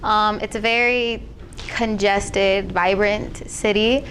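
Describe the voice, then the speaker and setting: A teenage girl speaks in an even, flat tone, pausing often with fillers as she answers questions. Faint background noise sits behind her voice. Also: mid-range voice, poor audio. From Colombia. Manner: hesitant, many fillers. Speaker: student interviewee. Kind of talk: casual interview answers.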